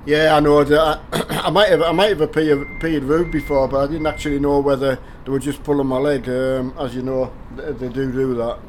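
An older man from the north of England, hoarse from a cold, chatting casually on a game live stream and greeting viewers by name through a headset mic. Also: mid-range voice, fairly even intonation, brisk, hardly any pauses, slight background noise. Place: home gaming room with driving rig.

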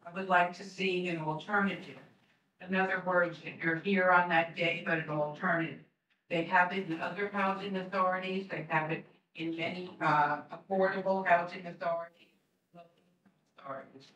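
An older woman, a tenant, reads prepared public comment in an earnest, measured way with many pauses. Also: lowish voice, steady tone, a bit bright. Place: community room at a board meeting, seated. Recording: roomy sound from a distant mic.

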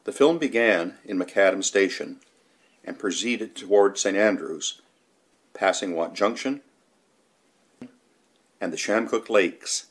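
A man's voice: on the low side, slow, pauses often, husky. A man reading scripted documentary narration, including old newspaper reports.

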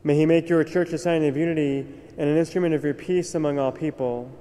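A young man, a priest, reciting the prayers of Mass at the altar in a measured, solemn way. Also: fairly high pitch, fairly even intonation, warm tone. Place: large cathedral, at the altar. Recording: long cathedral reverb.

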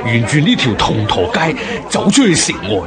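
An alarmed, loyal court attendant in a historical radio drama. His urgent, deferential speech swings wildly in pitch, with a crowd clearly heard behind him. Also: wildly swooping pitch, normal speed, over music. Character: alarmed loyal male attendant of a fleeing emperor.

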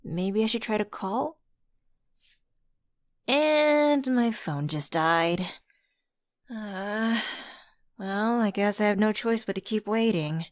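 A voice actress plays a flustered but friendly woman in an audio roleplay. She talks at a slow pace with a chatty, hesitant manner, at a middle pitch. The sound is dry, with no room echo. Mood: frustrated, resigned. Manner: hesitant, chatty, expressive with sighs and giggles. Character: flustered, friendly woman locked out of her car at Christmas. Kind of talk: ASMR roleplay acting, one-sided conversation.

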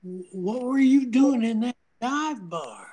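An older woman playing a kindly, protective shopkeeper with a husky voice in an amateur radio drama. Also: fairly high pitch, animated, slow, warm tone, full character voice. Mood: surprised, curious.

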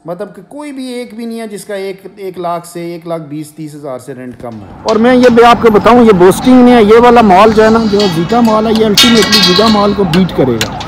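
A man giving a persuasive walking tour of a construction site and a mall, pitching property to investors. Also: animated, a little fast, hardly any pauses, music in the background. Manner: salesy, persuasive. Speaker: real estate marketer. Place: outdoors at a construction site, then inside a shopping mall.

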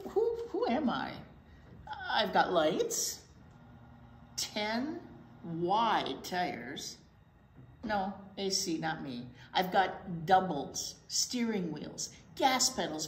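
Woman voicing a brash, theatrical garbage truck, swooping pitch. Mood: boastful. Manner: theatrical, punchy short phrases with drawn-out words. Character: brash, boastful, hungry garbage truck that revels in filth. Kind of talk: picture book read-aloud. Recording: roomy echo, faint room noise.

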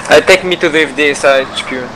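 A man chatting casually and excitedly with friends on voice chat while playing an online game. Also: fairly high pitch, quick pace, a little hiss or hum, rough recording. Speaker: gamer in an online roleplay game. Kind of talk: casual voice-chat during gameplay. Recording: game voice chat under loud music. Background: loud music, game gunfire and vehicles.

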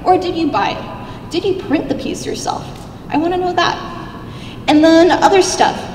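A young woman with a squeaky little voice giving an upbeat, chatty convention panel talk, speaking into a handheld mic in a large hall. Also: normal speed, darkish tone, a little hiss or hum. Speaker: cosplay contest judge giving a convention panel. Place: large convention hall, seated at a stage table. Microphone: handheld mic, filmed by a far camera. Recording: echoey hall, recorded from far off.

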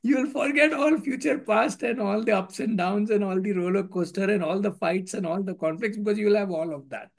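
A man's voice: sits high, a little fast, gravelly, few pauses. A man, hosting an online meetup in an earnest, repetitive way and mixing in Hindi phrases, speaking through a headset from a room at home. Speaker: meetup host. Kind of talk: casual video-call discussion. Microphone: headset on a video call.

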